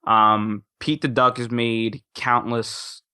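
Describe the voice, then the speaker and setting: Young male podcast talker: crisp bright tone, casual, enthusiastic, wordy, recorded close and dry. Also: mid-range voice, little pitch movement, slow, dry recording. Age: young adult.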